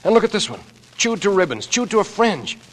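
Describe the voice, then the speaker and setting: A man's voice: high-pitched, sing-song, brisk. An actor playing an anxious, earnest young American man turned amateur sleuth in 1940s radio drama, speaking in terse, clipped deductive phrases. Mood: intent, explaining. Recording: noisy old radio drama recording.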